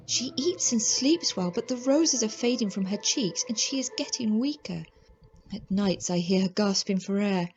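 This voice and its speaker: A woman playing a devoted, anxious young Victorian lady in a radio drama, speaking with gentle, earnest propriety. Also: medium pitch, natural voice. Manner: tender, earnest. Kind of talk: radio drama acting and narration. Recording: dull lo-fi audio, faint noise.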